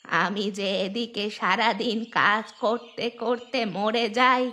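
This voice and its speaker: A woman voicing an aggrieved, resigned old village grandmother in a cartoon, complaining slowly about her lot. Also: fairly high pitch, pushed and bright. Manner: slow, complaining, fatalistic. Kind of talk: cartoon voice acting.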